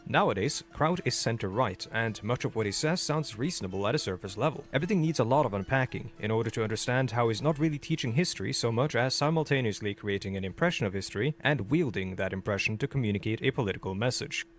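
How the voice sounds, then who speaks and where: A man with a Norwegian accent reading a scripted video essay in a measured, critical, explanatory way. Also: mid-range voice, animated, faint music behind. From Norway.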